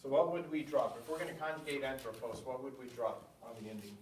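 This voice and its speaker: A man leads a classroom lesson, thinking aloud as he works through each example. He sounds far from the camera, in a reverberant room with a faint background hum. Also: medium pitch. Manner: thinking aloud, slow deliberate spelling-out of words. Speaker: teacher. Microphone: camera at a distance.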